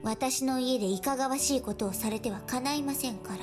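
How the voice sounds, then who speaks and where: Actress as a courteous, sly young shrine girl for a visual novel: high voice, brisk delivery, music underneath. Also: close to an everyday voice. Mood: teasing, firm. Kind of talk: Japanese visual novel voice acting. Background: game music.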